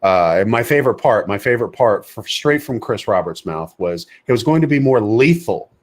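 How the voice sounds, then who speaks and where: A man's voice: lowish voice, animated, brisk. A man co-hosting a podcast who bluntly dismisses a game as generic. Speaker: podcast co-host. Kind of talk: casual chat.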